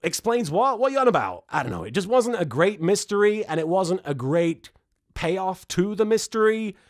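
A man's voice: sits high, big pitch swings, moderate pace. A British man in his thirties giving a comedic, sarcastic and exasperated recap to camera as the host. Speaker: host, episode reviewer.

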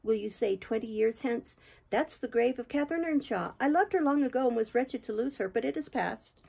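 A woman reading aloud and voicing a feverish, dying young woman, lifting her voice high in bitter, imploring speech. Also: expressive, fairly quick, creaky, dark tone, husky, full character voice.

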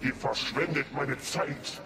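A man's voice: mid-range voice, slow, natural voice. A man playing a menacing, imperious dragon in a fantasy radio drama, commanding and contemptuous. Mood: contemptuous, impatient. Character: menacing, imperious dragon guarding a stolen hoard. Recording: loud music under the voice.

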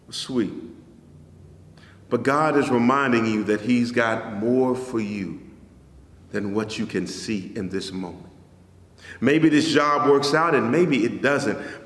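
A pastor in his forties preaching a sermon in a church sanctuary, measured and rising on key phrases, then dropping soft at the ends of lines. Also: medium pitch. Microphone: stand mic in front, camera at a distance. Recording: echoey church hall.

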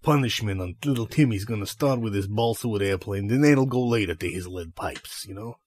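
Man doing a low-voiced, cocksure underworld tough guy. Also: fairly quick, darkish tone, lightly acted. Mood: cocky, matter-of-fact. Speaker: improv performer playing an interview guest. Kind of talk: improvised comedy sketch.

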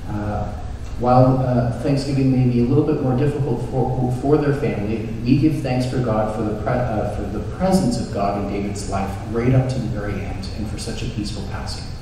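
Echoey solemn priest in a church. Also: male voice, mid-range voice, mellow. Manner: solemn, measured. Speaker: priest leading worship. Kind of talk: church liturgy and prayer. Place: church, indoors. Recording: echoey church from far off, noisy. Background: noise, room ambience.

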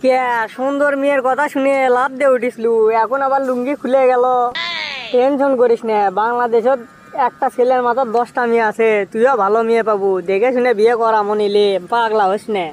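A man voicing a cheeky young village friend in a comic cartoon, teasing and glib, with a Bogura accent and a pitched-up effect. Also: flat delivery, brisk, rough recording. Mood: reassuring banter. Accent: Bogura, northern Bangladesh. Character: cheeky young village buddy, teasing self-styled matchmaker.

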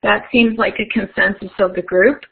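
A woman's voice: medium pitch, steady tone, moderate pace, creaky, slightly rough. A woman giving a conference keynote as a webinar presentation, friendly and guiding the audience through an interactive activity. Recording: tinny phone-like webinar audio.

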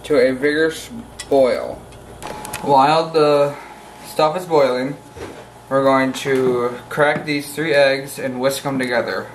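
A man's voice: mid-range voice, steady tone, slow. Teenage boy, distant noisy kitchen recording. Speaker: cooking video presenter. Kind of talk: step-by-step recipe instruction. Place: home kitchen. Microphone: camera mic at a distance. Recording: distant camera mic, roomy, noisy background.

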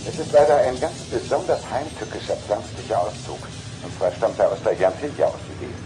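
Male actor for articulate car computer, formal, animated intonation, German radio drama. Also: fairly low pitch, brisk, over music, natural voice, poor audio. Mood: concerned. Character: polite, precise talking male car computer.

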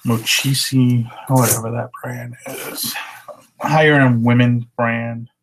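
Unhurried thirties man, monotone, plain webcam sound, reselling talk. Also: medium pitch, slight background noise, low-quality recording. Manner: relaxed, matter-of-fact item rundown. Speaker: reseller showing thrift finds. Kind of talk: casual haul vlog. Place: living room at home. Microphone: webcam at desk.

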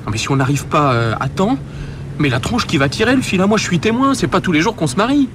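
High-voiced, bossy, panicky young man. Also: animated, fast talker. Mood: impatient, annoyed. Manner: impatient, swearing, slangy. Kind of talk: French radio drama acting. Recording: street and car noise behind.

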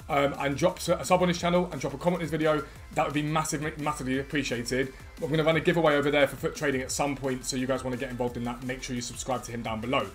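A man in his thirties with a slightly croaky voice, chatting quickly and eagerly to viewers as a gaming YouTuber, recorded at a desk in a room at home. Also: on the high side, fairly bright tone. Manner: rapid, chatty, enthusiastic. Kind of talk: energetic casual chat to viewers.

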